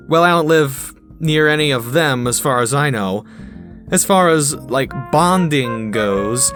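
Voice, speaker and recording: A man with a fairly high voice answers questions casually. His intonation moves around a lot, and soft guitar music plays behind him. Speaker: cast member answering questions. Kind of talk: casual chat.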